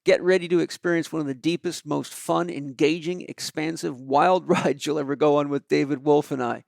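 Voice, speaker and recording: Middle-aged guy hosting a podcast, gravelly kinda high voice, dry close sound. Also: steady tone, moderate pace, darkish tone, dry recording. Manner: enthusiastic, uses many fillers. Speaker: podcast host. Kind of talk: conversational podcast interview. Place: house.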